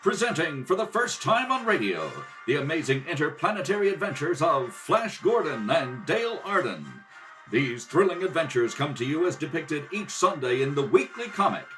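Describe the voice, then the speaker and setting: A man's voice: a little high, sing-song, normal speed, fairly bright tone, soft background music. A man narrating a scripted radio adventure serial as announcer, dramatic and declamatory. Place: kitchen table at home. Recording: home kitchen recording, distant mic.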